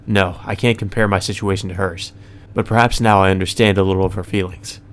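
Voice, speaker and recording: A man's voice: deep voice, steady tone, a little fast. A man reading a visual novel aloud as a let's player, mixing story narration with casual commentary. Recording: music under the voice.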